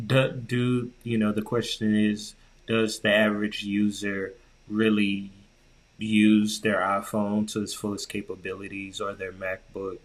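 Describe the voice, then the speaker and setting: Low-voiced man chatting casually about tech. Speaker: podcast co-host.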